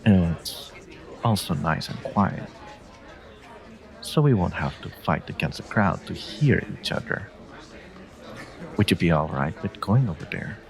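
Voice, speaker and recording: A man playing a suave, teasing stranger who flirts in an intimate audio roleplay, pausing and hesitating playfully as he talks. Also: deep voice, animated, vocal fry, heavily acted. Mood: inviting. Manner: teasing, hesitant pauses, frequent soft chuckles.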